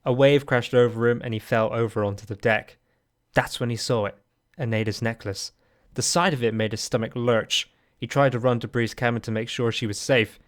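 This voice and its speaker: A man's voice: medium pitch, fairly even intonation, normal speed. A man reading scripted third-person narration for an amateur audio drama.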